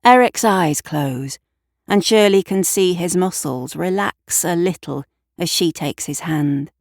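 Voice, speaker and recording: A woman with a fairly low voice narrates a scripted audio drama. She takes her time, telling the story in a measured, deliberate way, with a very dry, close sound. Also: darkish tone, no room sound. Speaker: writer and narrator.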